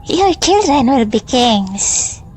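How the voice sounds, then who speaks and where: A woman playing an eerie, low-key witch giving prophecies in an amateur radio drama. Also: very animated, slow pace, darkish tone, heavily acted. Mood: ominous.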